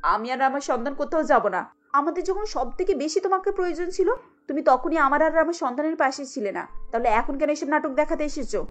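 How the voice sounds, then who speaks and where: A woman voicing an indignant, bitter young pregnant daughter in a cartoon story, scolding with sharp rhetorical questions. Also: sits high, lively intonation, brisk, faint music behind. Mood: reproachful.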